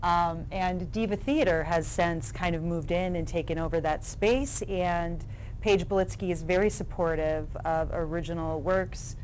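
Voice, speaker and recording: A woman's voice: fairly low pitch, fairly even intonation, measured pace, darkish tone, rough recording. A woman speaking conversationally as a playwright guest in an interview. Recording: faint background room noise.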